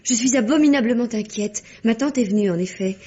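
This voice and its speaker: An actress playing an earnest, devoted young niece in a French radio drama, breathy, polite and emotional. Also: female voice, on the high side, brisk, mellow. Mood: worried. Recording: dull old radio drama recording.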